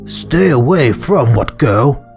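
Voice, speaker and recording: A man's voice: medium pitch, expressive, slow pace, gravelly, darkish tone, faint music behind. A man voicing a cold, secretive neighbour in a visual novel, curt and ominous. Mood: cold warning.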